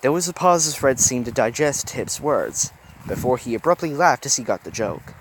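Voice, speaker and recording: Man reading a story with lively pitch. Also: normal speed, background noise. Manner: animated, occasional stumbles. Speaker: reader. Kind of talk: reading a fan story aloud.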